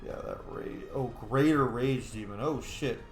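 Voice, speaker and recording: A man talks through his role-playing game session in an easy, excitable, off-the-cuff way, now and then swearing at the game. His voice sits at an ordinary pitch and rings in a roomy space. Game music plays clearly behind him. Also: measured pace. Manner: chatty, excitable, swears freely. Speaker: gameplay commentator. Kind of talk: casual let's-play commentary. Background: video game music and sound effects.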